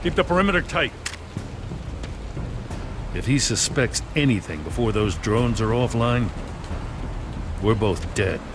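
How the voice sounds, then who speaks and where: Animated, exasperated police lieutenant played by a man. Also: medium pitch, unhurried, long pauses, natural voice. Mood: tense, resigned. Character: gruff, exasperated male police lieutenant, protective. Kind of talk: dramatic video game voice acting. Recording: noisy action scene ambience.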